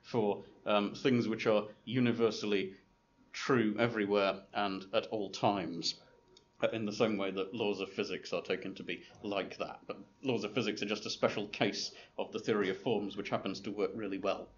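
A man's voice: lowish voice, steady tone, normal speed. A man lecturing to a class in a loose, rambling way, full of ums and restarts, with a dry humor. He sounds distant and roomy, as if caught from across a hall. The recording is dull and lo-fi, with a faint hiss. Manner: rambling, hesitant, many fillers and restarts. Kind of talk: informal lecture with slides.

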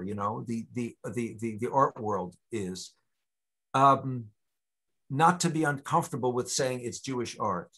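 A man in his sixties, a guest lecturer, answering questions on a video call from a home study, talking in long discursive stretches with frequent restarts. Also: on the high side. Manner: discursive, many restarts, animated. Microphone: video call webcam.

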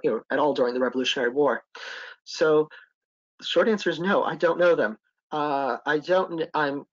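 A man's voice: a little high, fairly bright tone, dull audio. A man, a historic site interpreter, presenting and answering questions on a webinar, hesitant, with many ums and uhs.

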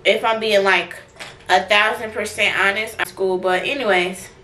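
A woman's voice: mid-range voice, moderate pace. A young woman around twenty chatting casually to camera in a slangy, dramatic way, as a vlogger. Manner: animated, slangy, dramatic complaining. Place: at home, then inside a car. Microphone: camera at arm's length or propped nearby. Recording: noisy camera audio with car hum.

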